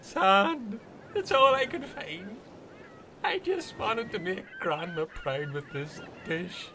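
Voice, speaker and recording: Man playing high, touchy castaway Jimmy. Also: huge pitch swings, takes it slowly, pushed and bright, slight background noise, heavily acted. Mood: hurt. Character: touchy, boastful, eager male castaway Jimmy.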